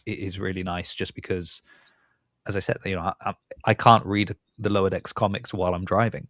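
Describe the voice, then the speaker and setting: A man with a fairly low voice hosts a casual podcast chat. He thinks aloud, circling and rewording his sentences as he goes. The sound is close and dry, with very little room in it. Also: level tone, brisk, a bit bright, no room sound. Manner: rambling, thinking aloud, often rephrasing mid-sentence. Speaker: podcast host and interviewer.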